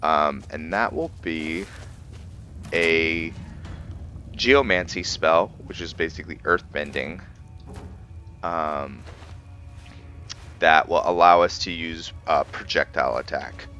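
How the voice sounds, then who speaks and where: A man giving casual commentary on his game playthrough, thinking aloud in a halting way. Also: very deep voice, pushed and bright, soft background music. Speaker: gaming video host.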